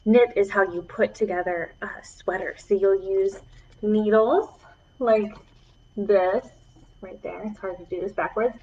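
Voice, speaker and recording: A woman's voice: mid-range voice, steady tone, unhurried, darkish tone, highs cut off. A woman children's librarian reading aloud to preschoolers, with encouraging asides to the little listeners. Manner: encouraging, addressing small children.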